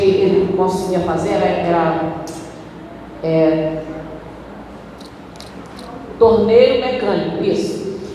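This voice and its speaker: A female pastor preaching a sermon in a church, emphatic, with sudden swings in pitch and tempo. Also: a little mellow, a little hiss or hum. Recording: echoey church hall, recorded from a distance.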